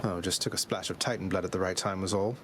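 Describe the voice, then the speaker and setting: Man playing a low-voiced, wry young prince. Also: fairly even intonation, background noise, lightly acted. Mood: dryly wry. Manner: measured, polite, dry. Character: young underworld prince, cool, courteous, dryly wry. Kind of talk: video game character dialogue.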